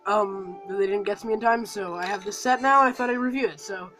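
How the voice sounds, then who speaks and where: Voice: medium pitch, fast, bright, forceful tone, soft background music. A reviewer with a cold talking casually through a toy set review, rambling and full of fillers. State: has a cold.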